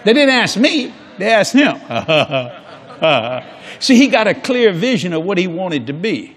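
A man's voice: sits high, big pitch swings, slow pace, a bit bright. An older man preaching a sermon on a large church stage before a congregation, folksy and jokey, acting out quoted people in different voices.

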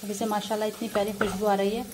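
A woman cooks in her home kitchen, talking viewers through each step in an easygoing, friendly manner. Her voice sits at an ordinary middle pitch, picked up by a phone held over the stove. The sizzle of frying and the scrape of a spoon run clearly behind her. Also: flat delivery, quick pace, background noise. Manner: friendly, addresses viewers as nazreen, frequent religious blessings. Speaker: cooking vlogger. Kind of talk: casual cooking tutorial narration.

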